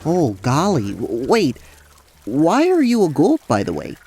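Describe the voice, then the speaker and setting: Man voicing a sweet, flustered, high-pitched young goat. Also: huge pitch swings, unhurried, slightly rough, faint music behind, full character voice. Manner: folksy, stammering, gentle. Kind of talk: fantasy audio drama dialogue.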